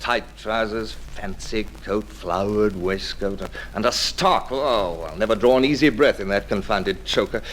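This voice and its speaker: An actor playing a proud, restless British sea captain in an old radio drama, wry and theatrical. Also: male voice, on the low side, measured pace, hardly any pauses, fairly bright tone, a little hiss or hum, rough recording. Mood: grudging. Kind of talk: 1940s-50s radio drama acting. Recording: vintage radio drama recording.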